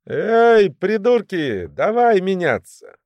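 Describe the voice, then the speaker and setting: A cocky criminal thug in an audiobook, acted out by a man. The voice is pushed high and loud, jeering and crude, full of confident menace, with a dry sound. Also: sing-song, slow, no room sound, muffled recording, heavily acted. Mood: mocking, threatening.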